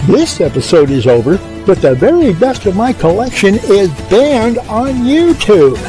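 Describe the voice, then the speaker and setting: High-pitched, animated male presenter. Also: measured pace, music in the background. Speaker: channel presenter. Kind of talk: scripted outro announcement.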